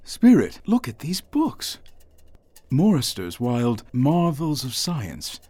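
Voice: medium pitch, takes it slowly, breathy edge, no room sound, full character voice. An actor playing a curious, nervous man who is wondering at strange sights and growing uneasy in a radio drama. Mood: excited. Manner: excitable, wondering, increasingly uneasy. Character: nervous, curious young man exploring the past.

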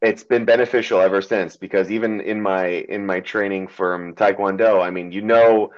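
Hesitant low-voiced man, muffled sound. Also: steady tone, normal speed. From Germany. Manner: hesitant, many ums and restarts, laughs often. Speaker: pro wrestler guest. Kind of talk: casual podcast interview. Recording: dull lo-fi sound.

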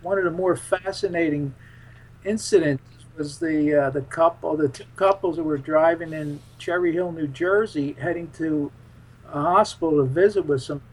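A man being interviewed as a guest, recounting things in a halting, rambling way and often repeating his phrases. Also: a little high, slightly rough, poor audio. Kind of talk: conversational interview, recounting anecdotes.

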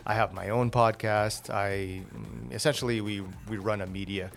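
A young man whose first language is Portuguese, a podcast guest who produces media, talking in a relaxed, casual way. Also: on the low side, normal speed, vocal fry. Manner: relaxed, easygoing, brief agreements. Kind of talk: casual chat.

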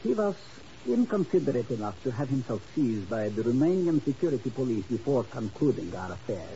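A preening, money-loving baron in an old radio drama. His gravelly voice swoops extravagantly as he gushes oily endearments. The hissy, muffled recording dates it. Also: mid-range voice, very animated, fairly quick, mellow. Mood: breezy, self-important. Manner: effusive, theatrical, oily endearments. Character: flamboyant, greedy, self-adoring baron in Istanbul. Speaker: supporting actor in a radio drama. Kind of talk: 1950s radio acting.

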